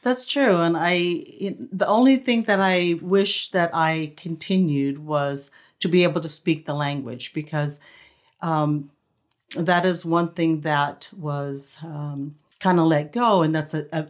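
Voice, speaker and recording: Soft-spoken lower-voiced woman from the Philippines. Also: a little slow. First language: Visayan. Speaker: co-host, host's wife. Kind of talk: casual conversational interview.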